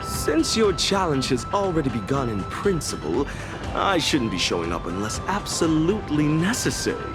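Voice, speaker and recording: A man's voice: on the high side, very animated, moderate pace. A man voicing a sly male show producer in a video game, talking in a teasing, theatrical way and chuckling between lines. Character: sly showman producer, mocking and persuasive. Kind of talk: video game cutscene voice acting. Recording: music playing underneath.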